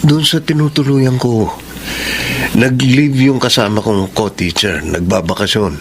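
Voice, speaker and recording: An actor with a Filipino accent playing a calm, helpful schoolteacher in a radio drama. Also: male voice, mid-range voice, a little slow, a little hiss or hum, lightly acted.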